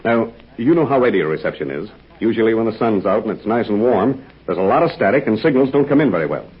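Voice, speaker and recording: A man playing a calm, steady heroic pilot in a 1940s radio serial, explaining things patiently. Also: fairly low pitch, breathy edge. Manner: reassuring, deliberate, explains patiently. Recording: dull 1940s radio transcription.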